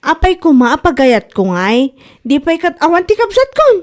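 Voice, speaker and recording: A woman's voice: sits high, very animated, normal speed. An Ilocano-accented woman playing a worried, caring older sister in a comedy drama.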